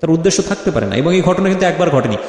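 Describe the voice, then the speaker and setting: A man's voice: on the high side, lively intonation, very fast, darkish tone. A man hosting a life-story interview, speaking emphatically and repeating phrases for stress as he questions and addresses listeners. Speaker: show host and interviewer. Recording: very echoey, long reverb.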